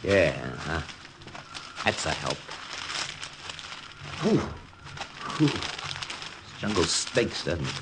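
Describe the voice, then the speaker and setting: Wry wartime pilot on noisy old radio. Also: male voice, deep voice, very slow, long pauses, full character voice. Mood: wry, weary. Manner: wry, colloquial, excitable. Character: Pete, wry, plucky American wartime pilot. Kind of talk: 1950s radio adventure acting.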